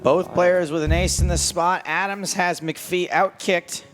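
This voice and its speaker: A man's voice: high voice, fairly even intonation, a little slow. A man commentating live on a poker match, mixing play-by-play with joking, wisecracking banter. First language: English. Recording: broadcast with music playing behind. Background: music.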